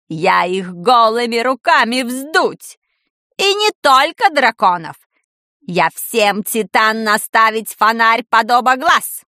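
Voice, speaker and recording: A man's voice: high voice, vocal fry, pushed and bright, dry recording, studio quality, heavily acted. A woman voicing a pompous, scornful male sorcery professor with a foreign accent and broken grammar, drawing out the words condescendingly. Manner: condescending, drawn-out, mocking.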